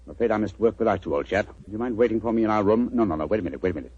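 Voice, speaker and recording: An actor playing a self-assured English gentleman detective in 1940s radio drama style, clipped and commanding, with a British accent. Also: on the low side, lively intonation, rapid-fire, breathy edge, no room sound. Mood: brisk, commanding. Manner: clipped, authoritative, explanatory. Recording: dull old 1940s radio recording.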